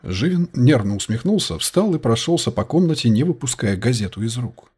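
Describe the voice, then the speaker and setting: A man's voice: medium pitch, very animated, fairly quick, darkish tone, husky. A man reading a detective novel aloud as scripted audiobook narration.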